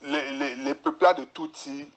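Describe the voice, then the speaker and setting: A man's voice: high voice, big pitch swings, a little slow, creaky. A man from DR Congo, a guest analyst giving long explanations and often repeating words as he searches for them. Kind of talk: explanatory interview talk.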